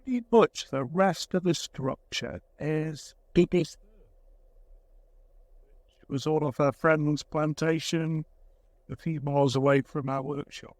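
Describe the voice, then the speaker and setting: Male craftsman interviewee, unhurried and reflective, halting phrasing, casual tone, dry sound. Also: fairly high pitch, no echo. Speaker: woodworker, craftsman being interviewed.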